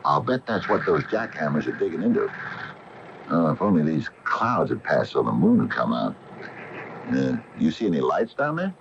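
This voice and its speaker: An actor playing an uneasy, baffled small-town store owner in a radio drama, stammering when rattled. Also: male voice, very deep voice, big pitch swings, moderate pace, gravelly, heavily acted. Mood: puzzled, intrigued. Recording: muffled, noisy 1980 radio broadcast tape.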